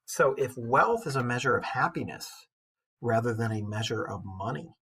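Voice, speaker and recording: A man's voice: mid-range voice, animated, normal speed, pushed and bright, husky. A man speaking as an English teacher in an informal recorded lesson, conversational and slangy, explaining things through commentary and anecdote. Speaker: high school English teacher.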